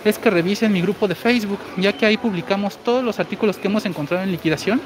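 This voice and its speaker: A man in his forties with a high, girlish-sounding voice, chatting through a live store walkthrough and reading viewer comments. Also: very fast. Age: 40s. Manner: chatty, explains deals while walking. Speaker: shopping deals streamer. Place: large indoor supermarket. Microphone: phone held while walking. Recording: noisy store ambience.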